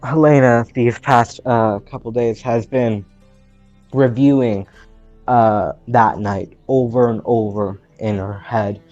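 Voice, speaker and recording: Male roleplayer, mid-pitched, hesitant casual description, dulled recording with soft music. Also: steady tone. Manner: hesitant, pausing often. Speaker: tabletop game player. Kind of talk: casual third-person character description. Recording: slightly muffled, music behind.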